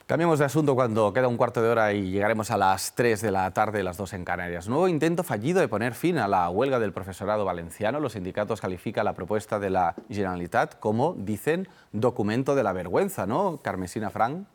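A man's voice: lowish voice, animated, fast talker. A man presenting a live news program, linking reports and chatting with guests and correspondents. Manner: conversational, with filler tags. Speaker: news anchor.

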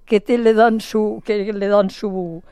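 Chatty older woman, smooth voice. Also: mid-range voice, steady tone, slow, warm tone, no room sound. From Spain. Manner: chatty, playful, rambling with many restarts. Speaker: interviewee. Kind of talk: casual phone interview.

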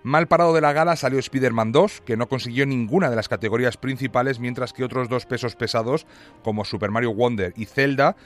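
Man, Spain accent, scripted tech news, mid pitch, faint music bed. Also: fairly quick. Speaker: technology news reporter.

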